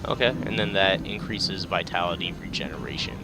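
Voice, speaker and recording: A man's voice: deep voice, lively intonation, a little fast, vocal fry, very noisy. A man giving casual video game commentary in a laid-back, hesitant, rambling way, sometimes reading out tips. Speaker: game player commentating a playthrough. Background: game music.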